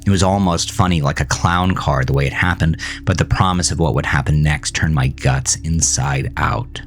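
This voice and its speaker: A man narrating a horror story in the first person, with a somber, deliberate, dramatic delivery. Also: extremely low pitch. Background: music.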